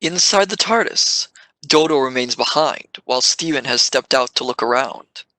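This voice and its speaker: A man's voice: mid-range voice, lively intonation, normal speed, pushed and bright, rough recording. A man reading scripted stage narration for an audio drama.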